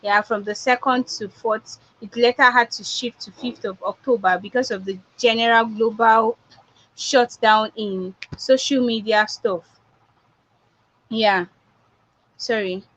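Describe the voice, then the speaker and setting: A woman's voice: on the high side, steady tone, a little slow, a bit bright. Nigerian woman giving an emphatic pep talk. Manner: emphatic, repeats points for effect. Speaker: public speaking coach. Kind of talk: motivational online talk. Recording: dull lo-fi online audio.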